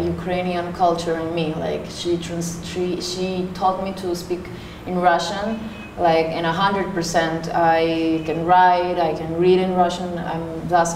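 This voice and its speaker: A young woman from Panama answering interview questions casually, pausing often with fillers. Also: lowish voice, a little mellow. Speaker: interview guest. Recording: echoey room with faint noise.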